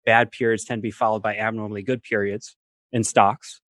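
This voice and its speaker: A man speaking as a guest expert on a video call, explaining step by step in a measured, academic way with worked examples. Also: on the low side, little pitch movement, a bit bright, no echo. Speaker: finance professor, guest expert. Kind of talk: explanatory talk on a livestream.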